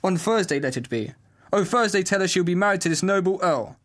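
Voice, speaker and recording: A man's voice: high voice, expressive, a little fast, fairly bright tone. A man playing a domineering, hot-tempered noble father, commanding and declamatory, in a Shakespeare audio drama. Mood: eager, commanding.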